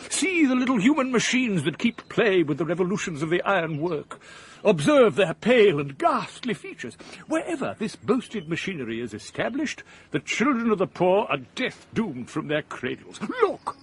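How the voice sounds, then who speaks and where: A man's voice: sits high, big pitch swings, normal speed, husky, exaggerated voice. An actor playing an impassioned male philosopher in a radio drama, declaiming with scorn against progress. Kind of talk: 1980s radio drama acting.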